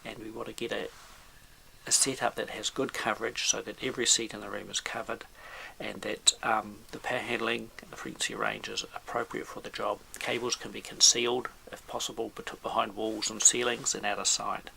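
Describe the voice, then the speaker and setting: A man giving an informal technical explainer as an expert presenter, in a halting, measured way. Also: fairly low pitch, level tone, creaky, sharp tone, a little hiss or hum, low-quality recording.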